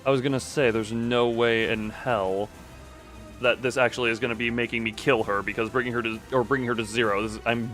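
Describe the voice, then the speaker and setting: Man, game streamer, casual live commentary, medium pitch, muttered asides and exclamations, music in the background. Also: steady tone, brisk, a bit bright. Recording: music playing behind the voice.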